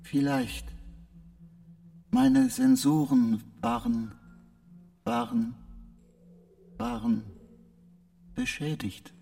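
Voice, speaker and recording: A male spaceship AI in a radio play, speaking very slowly with a swooping, singsong pitch. It is polite yet evasive, halting over its words as if unsure of itself. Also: huge pitch swings, creaky, mellow, soft background music, exaggerated voice. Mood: troubled, halting. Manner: slow, measured, halting delivery with odd emphasis. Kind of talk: radio drama acting.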